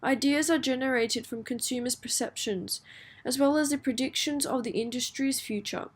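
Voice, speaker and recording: A woman's voice: sits high, moderate pace. A woman reading a scripted passage aloud as a model answer for language exam practice.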